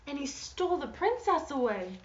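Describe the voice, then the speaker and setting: Female teacher for an interactive picture-book read-aloud, expressive storyteller, echoey and slightly muffled room sound. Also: high voice, moderate pace, far from the mic. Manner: expressive storytelling, posing questions to young listeners.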